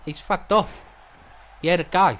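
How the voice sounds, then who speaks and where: Man playing a high, whiny Australian wrestling manager. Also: normal speed, a bit bright. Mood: casual. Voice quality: whiny, lacking bass. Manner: mouthy, sweary, defensive. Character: whiny, mouthy skinny wrestling manager and commentator. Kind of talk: wrestling commentary with in-character banter.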